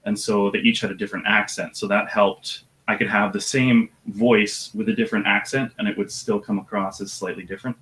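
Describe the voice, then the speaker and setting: Casual low guy, audiobook narrator, talks kinda slow and thoughtful, little hesitant. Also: rough recording. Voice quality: deep voice. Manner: relaxed, thoughtful, slightly hesitant with fillers. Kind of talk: casual interview chat.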